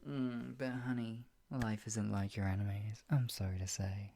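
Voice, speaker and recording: A performer playing a possessive, sadistic yandere captor in an improvised ASMR roleplay, mocking and menacing, with a breathy voice that often drops toward a whisper. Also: medium pitch, little pitch movement, mellow, slight background noise, lightly acted. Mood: contemptuous, possessive. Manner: menacing, mocking, slow drawn-out taunts broken by laughs and sighs.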